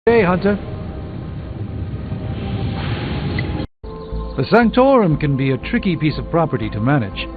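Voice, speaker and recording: An actor playing a learned, dryly witty sorcerer superhero in a video game, speaking formally and theatrically. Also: male voice, fairly high pitch, big pitch swings, fast. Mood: wry. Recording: dull game audio under music.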